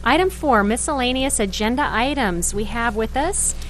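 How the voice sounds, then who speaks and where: A woman chairing a city council meeting, calling items in a measured, procedural way. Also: fairly high pitch, lively intonation, fairly bright tone, soft background music.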